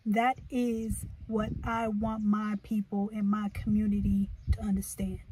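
American lady chatting in her car, slow and thoughtful, mic kinda far, noticeable background noise. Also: mid-range voice, little pitch movement, darkish tone. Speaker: vlogger. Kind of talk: casual, reflective chit chat. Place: inside a parked car.

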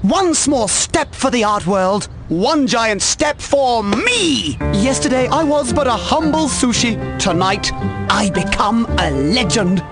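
Pompous little cartoon food character, grandiose, slow, animated pitch, loud music behind. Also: male voice, exaggerated voice. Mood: grand, triumphant. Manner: pompous, declamatory. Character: grandiose, self-important little living sushi artist.

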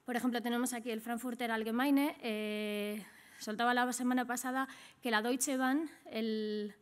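A woman's voice: fairly high pitch, fairly even intonation, moderate pace. A middle-aged woman with an accent from Spain, giving a business presentation as a conference speaker in a conversational way, often checking in with short tag questions. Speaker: trade office director, conference speaker. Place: indoor conference stage at a lectern.

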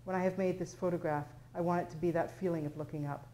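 A woman reading the part of an airy, excitable photographer who commands those around her, her voice lifting as she explains. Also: fairly low pitch, fast, a little hiss or hum, lightly acted. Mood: earnest, rapt.

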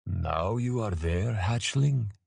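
A man voicing an ancient male dragon in a fantasy audiobook, gravelly, formal, grave and patient, with weighty, slow delivery. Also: fairly low pitch, animated, darkish tone, no room sound, clean studio sound, exaggerated voice. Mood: curious, grave. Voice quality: gravelly, tight with disuse.

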